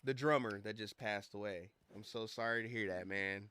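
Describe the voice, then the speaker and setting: A young man streaming a game, rambling and swearing as he tells stories in casual chat, his voice a little hoarse from losing it. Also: lowish voice, moderate pace. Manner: rambling, profane, animated storytelling. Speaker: gaming streamer. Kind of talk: casual livestream chat while gaming.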